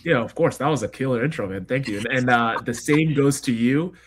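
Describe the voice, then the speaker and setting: A young man from Kenya with an American accent, telling his story quickly and energetically as a podcast guest. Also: medium pitch, a little hiss or hum. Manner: fast, energetic storytelling with frequent fillers. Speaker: guest entrepreneur. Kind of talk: casual interview chat.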